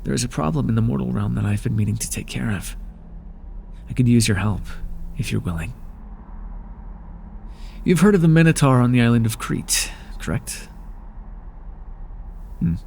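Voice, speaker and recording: A man's voice: medium pitch, expressive, normal speed, creaky, long pauses, a little mellow, a little hiss or hum. A man playing an aloof, lonely god of the underworld in an ASMR roleplay, talking in a dry, languid and sardonic way.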